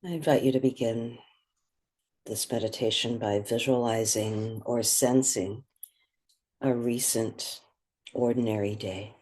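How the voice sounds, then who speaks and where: A person guiding a meditation in a soft, breathy voice, speaking gently and soothingly with unhurried pauses. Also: medium pitch, fairly even intonation. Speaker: meditation guide. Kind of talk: guided meditation.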